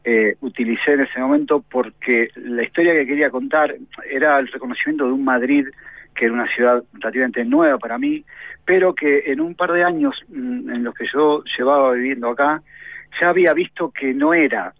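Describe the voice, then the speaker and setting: A man's voice: mid-range voice, expressive, a little fast, creaky, no echo. A man in his forties with an Argentine accent, a novelist being interviewed on the radio, who talks thoughtfully with lots of hesitations and tag questions. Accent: Argentine Spanish. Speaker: novelist guest. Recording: tinny, phone-like, dry radio sound.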